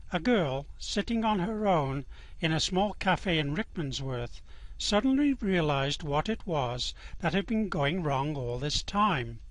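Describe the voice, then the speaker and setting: A man's voice: fairly high pitch, fairly bright tone, no echo, poor audio. A man reading scripted storytelling narration for a radio play.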